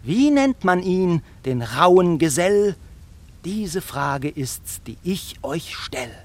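A man's voice: high-pitched, big pitch swings, heavily acted. A man playing a plucky but anxious little fairy-tale tailor with theatrical delivery in a children's radio play. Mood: reciting solemnly. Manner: theatrical, deliberate when reciting.

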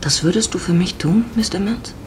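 Radio drama lead: mature female, played by an actress, low murmuring voice, flirtatious yet wistful, noisy ambience. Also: brisk, close to an everyday voice. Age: middle-aged. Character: teasing, wistful mature woman, flirting with younger man. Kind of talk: radio play acting.

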